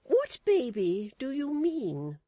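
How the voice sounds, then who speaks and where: A woman doing a shrill, scornful scolding wife. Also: huge pitch swings, slow, mellow, no echo, exaggerated voice. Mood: indignant. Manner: high-pitched, withering, sharply emphatic. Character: indignant scolding middle-aged wife, shrill and self-righteous.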